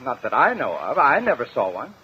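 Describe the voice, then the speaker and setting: An actor playing a halting, evasive ex-convict on the stand in a 1950s radio courtroom drama. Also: male voice, mid-range voice, wildly swooping pitch, slight background noise. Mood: defensive, uneasy. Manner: halting, evasive, plain-spoken. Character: defensive ex-convict houseman on the witness stand. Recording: muffled 1950 radio broadcast.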